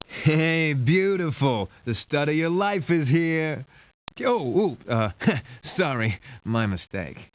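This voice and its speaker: A man's voice: a little high, expressive, slow. An actor playing a swaggering, cheesy lover boy in a video game, on a dull lo-fi sample. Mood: sheepish. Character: swaggering male lover, a cheesy self-styled stud. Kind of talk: scripted video game acting.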